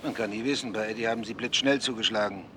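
A man's voice: lowish voice, fast talker, background noise, close to an everyday voice. An actor playing a cautious male investigative journalist who answers in guarded, clipped lines. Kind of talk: German TV drama acting.

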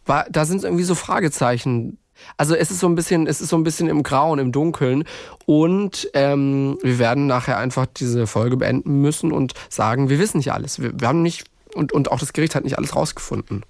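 A man co-hosting a true-crime podcast, talking casually in a halting, reflective way and often repeating phrases. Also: fairly high pitch, vocal fry, a little mellow, no echo, clean studio sound. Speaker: podcast co-host.

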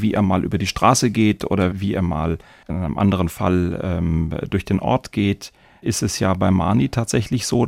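Male podcast host, low register with fry, conversational interviewer. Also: darkish tone, no room sound, clean studio sound.

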